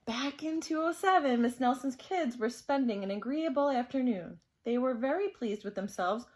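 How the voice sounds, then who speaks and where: A woman storyteller reading a children's book aloud in an expressive, dramatic style. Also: high-pitched, normal speed, slight background noise.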